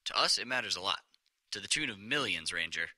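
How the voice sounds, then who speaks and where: An actor playing a callous district office boss in an audio drama, officious, curt and condescending. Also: male voice, on the low side, animated, fast talker, bright, forceful tone, no room sound, full character voice. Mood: stern, dismissive.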